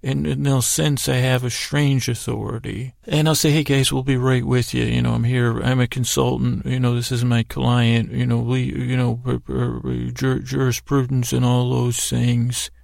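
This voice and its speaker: A man with a creaky, gravelly voice hosting a bedtime podcast, telling a meandering monologue with halting, stammered, repeated words. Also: mid-range voice, flat delivery, darkish tone, no room sound, clean studio sound. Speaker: podcast host. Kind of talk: rambling bedtime sleep-story monologue.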